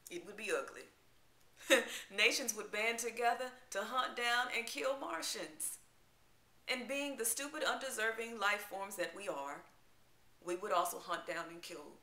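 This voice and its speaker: A woman giving a dramatic spoken-word poetry recital, theatrical and emphatic, with deliberate pauses. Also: medium pitch, bright, forceful tone. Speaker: poetry reader. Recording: roomy, echoing live-room sound.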